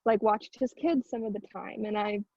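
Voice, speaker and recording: A woman's voice: mid-range voice, steady tone, normal speed, gravelly, dark tone. A young American woman in her late teens chatting casually and informally about herself, with frequent filler words like a student. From Houston, United States. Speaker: singer and narrator being interviewed. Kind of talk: casual chat. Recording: dull, roomy sound.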